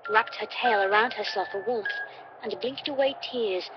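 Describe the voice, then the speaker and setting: A woman's voice: mid-range voice, moderate pace, background noise. A woman reading a scripted story as a dramatic narrator. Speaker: fan fiction reader. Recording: muffled lo-fi playback. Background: music.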